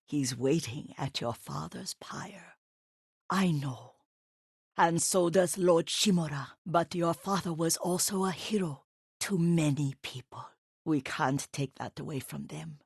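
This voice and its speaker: An actress playing an elderly, devoted caretaker and herbalist in a video game, gentle but firm, with a husky, breathy voice and a motherly manner. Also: sits low, dry recording, studio quality, heavily acted. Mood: solemn, consoling. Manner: unhurried, softly instructive, motherly. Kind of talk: video game character dialogue.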